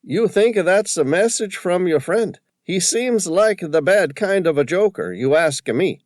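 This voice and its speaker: A man voicing an excitable old male shop owner with rough, folksy speech. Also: high-pitched, measured pace, dry recording, studio quality, pitched above the performer's own voice. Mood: indignant.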